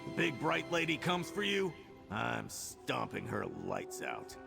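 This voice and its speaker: Gravelly, combative loyal companion. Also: male voice, medium pitch, big pitch swings, a little slow, over music, full character voice. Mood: gruff, protective. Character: gruff, fiercely loyal, combative male companion. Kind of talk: fantasy video game dialogue.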